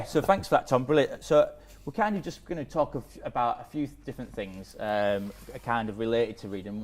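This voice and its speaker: A man acting as interviewer in a casual conversation, talking informally and in a roundabout way. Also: on the low side. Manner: informal, roundabout, frequent hedging phrases.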